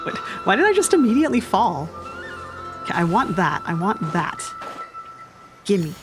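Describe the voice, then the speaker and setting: A woman's voice: mid-range voice, sing-song, normal speed, music in the background. A young woman giving casual, slangy, irreverent commentary as she plays a game, in a giggly manner. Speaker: gaming streamer.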